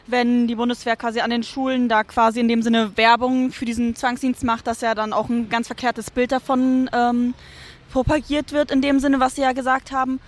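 A woman's voice: fairly high pitch, level tone, moderate pace. A woman co-hosting a casual discussion in colloquial, roundabout phrasing. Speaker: co-host.

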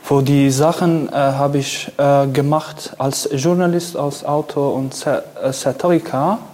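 Slow, halting Kurdish-accented man. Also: medium pitch, fairly even intonation, a little mellow. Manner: halting, searching for words, self-deprecating. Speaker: author and satirist. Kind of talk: reading event talk. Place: event venue with audience.

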